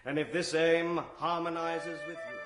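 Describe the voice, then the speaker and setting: A man plays a lodge examiner in a radio drama, solemn and probing. His fairly high voice rings in a very echoey space as he questions formally. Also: steady tone, unhurried, music in the background, lightly acted. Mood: solemn questioning. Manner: formal, interrogating. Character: solemn ritual examiner of a secret brotherhood. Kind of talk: British radio drama acting. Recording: echoey ceremonial room.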